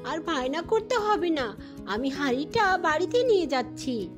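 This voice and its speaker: A woman's voice: high-pitched, sing-song, normal speed. A woman voicing a protective, scolding yet caring mother bird in a children's cartoon, with music behind her. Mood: fussing, caring.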